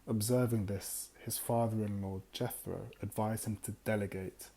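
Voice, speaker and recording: A tired man with a slightly raspy voice hosts a casual book review, talking unhurriedly with many fillers and reading passages aloud. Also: fairly low pitch, fairly even intonation, normal speed, warm tone. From United Kingdom. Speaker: book club host.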